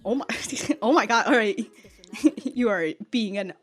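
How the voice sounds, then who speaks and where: A woman's voice: on the high side, measured pace. A woman giving casual, slangy, sweary and giggly reaction commentary as host of a reaction video.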